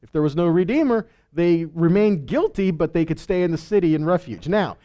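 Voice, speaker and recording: Male Bible teacher, notably high register, conversational sermon delivery, didactic, asks and answers his own questions. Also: brisk, warm tone.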